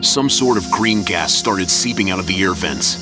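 Male storyteller for audio drama, low voice, scripted narration with music clearly behind. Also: lively intonation, normal speed. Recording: loud music under the voice. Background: loud dramatic music.

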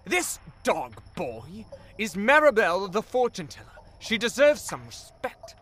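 A man's voice: high-pitched, sing-song, measured pace, a bit bright, full character voice. A man playing a flustered, bossy young warlock minion with a theatrical, fussy way of talking, in a comic fantasy audio drama. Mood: alarmed, scolding.

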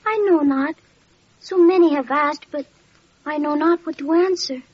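Earnest young boy, high-voiced played by a woman. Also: moderate pace, lightly acted. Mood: earnest, humble. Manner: solemn, simple, dutiful delivery. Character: earnest, gentle young boy of humble home. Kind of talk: classic radio drama acting, archaic diction. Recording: dull, noisy old radio recording.

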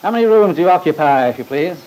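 A man's voice: a little high, expressive, fast, a little mellow, natural voice, rough recording. A man playing a pompous, badgering attorney in an old radio drama, formal and sarcastic. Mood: haughty, badgering. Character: scornful, badgering male attorney, pompous and theatrical.

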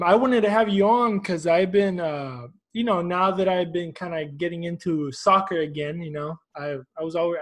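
A man from Houston hosting a casual, informal soccer interview show, chatting in friendly slang. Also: a little high. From Houston, United States.

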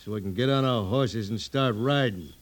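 An American actor playing a hard, defiant, needling outlaw in an old-time radio western, clipped and taunting. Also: male voice, medium pitch, moderate pace, natural voice. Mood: callous. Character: hard, callous, defiant male outlaw spoiling for a fight.

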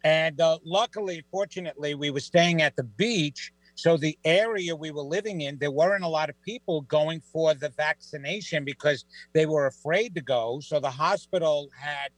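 A middle-aged man with a New York accent, a psychic guest on a talk show, telling stories in a lively, joking way. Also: on the high side, moderate pace, hardly any pauses, dry recording, poor audio. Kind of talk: casual radio chat.

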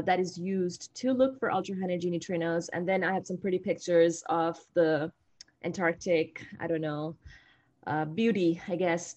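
Woman, 30s, guest speaker on a video call, chatty and self-deprecating, mid-pitched. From India. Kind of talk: informal online talk with slides.

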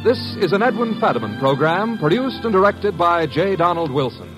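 A man with a husky voice announcing in 1950s network radio style. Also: high voice, sing-song, moderate pace, rough recording. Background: faint music.

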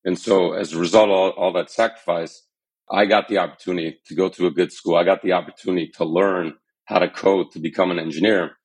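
A man in his thirties, a company founder telling his founding story in a podcast interview, earnest and measured. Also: low-pitched, fairly even intonation. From Armenian, born in Iran, raised in the US. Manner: earnest and measured, some fillers. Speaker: startup founder and CEO, podcast guest.

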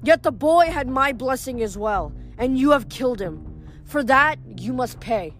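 A woman's voice: high voice, lively intonation, a little slow, faint music behind. A woman voicing a stern goddess passing cold judgement in a storybook.

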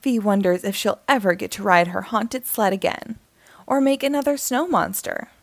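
A woman narrating a children's picture book aloud in an expressive, deliberate way. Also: mid-range voice, dry recording. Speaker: storyteller reading picture books aloud.

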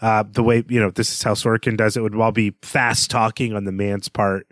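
A man's voice: lowish voice, level tone, moderate pace, fairly bright tone, no room sound, clean studio sound. A man co-hosting a podcast, discussing books in a conversational, analytical way and chuckling as he talks. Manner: analytical, chuckles while talking. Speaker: podcast co-host.

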